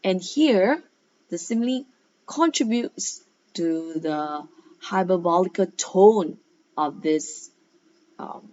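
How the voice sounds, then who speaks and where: Female teacher for guided reading lesson: very slow pace, instructive questioning, emphatic key words, slightly muffled lo-fi recording. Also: fairly low pitch, animated, a little mellow. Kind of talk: guided read-aloud lesson with questions and explanations. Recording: dull, lo-fi sound.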